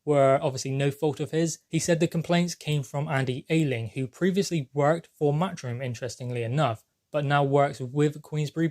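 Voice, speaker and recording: Male sports vlogger, slightly high voice, emphatic explainer delivering news commentary. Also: darkish tone. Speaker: boxing news channel presenter.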